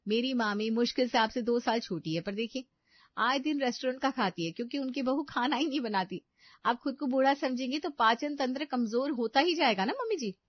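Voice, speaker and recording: A woman's voice: sits high, brisk, dry recording, lo-fi sound, voice pushed up. A woman voicing a scheming young daughter-in-law in a moral story, sugary to elders and smug when plotting. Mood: sugary, persuasive. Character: scheming, self-satisfied young daughter-in-law cutting corners in the kitchen.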